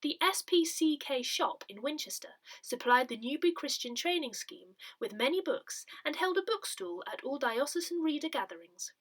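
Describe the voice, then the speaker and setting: A woman reading a parish newsletter aloud in a soft, breathy, measured way. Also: sits high, very animated. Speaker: newsletter reader.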